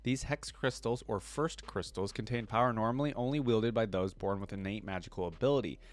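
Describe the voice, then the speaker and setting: A man's voice: lowish voice, brisk. A man hosting a reaction video, mixing casual, enthusiastic commentary with reading aloud and his own interjections. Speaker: video host reacting to game character lore.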